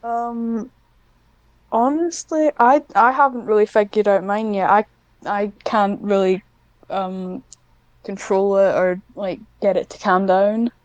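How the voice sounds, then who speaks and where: A woman talks casually as an interview guest in a smooth, crisp voice recorded very dry. She is hesitant and self-deprecating, feeling her way through answers with a giggly streak. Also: mid-range voice, steady tone, slow pace, no echo, muffled recording. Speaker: podcast guest, scriptwriter.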